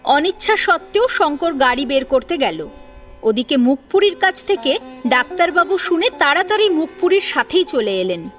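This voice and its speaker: High-pitched woman narrating a story, animated. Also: moderate pace, soft background music. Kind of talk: dramatic scripted story narration.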